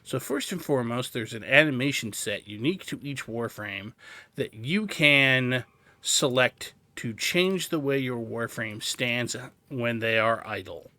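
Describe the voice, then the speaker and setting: Bright-voiced man giving a game walkthrough. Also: medium pitch, lively intonation, unhurried, no echo. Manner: explanatory, thinking aloud. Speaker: video game tutorial host. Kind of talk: casual gameplay walkthrough commentary.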